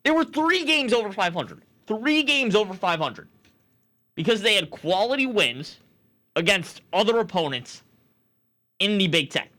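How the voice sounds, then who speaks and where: A man's voice: high-pitched, bright, forceful tone. A young man working as a sportscaster, delivering sports commentary and opinion in an emphatic, argumentative manner. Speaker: sportscaster and sports talk host.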